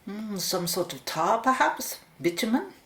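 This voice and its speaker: A woman acting a brisk, focused research scientist giving instructions in a radio play. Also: medium pitch, big pitch swings, fairly bright tone, roomy sound.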